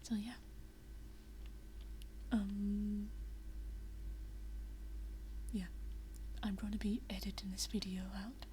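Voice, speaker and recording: A young woman whispering quietly at night in a living room, chatting unscripted with many fillers and restarts. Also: mid-range voice, slight background noise, poor audio. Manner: rambling with frequent fillers and restarts. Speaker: VRChat vlogger. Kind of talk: unscripted casual chat.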